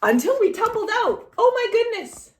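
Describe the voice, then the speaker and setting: A woman hosting a read-aloud storytime for young children, speaking in an animated way as if straight to the child listening. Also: extremely high pitch, moderate pace. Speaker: children's storytime host reading a picture book aloud.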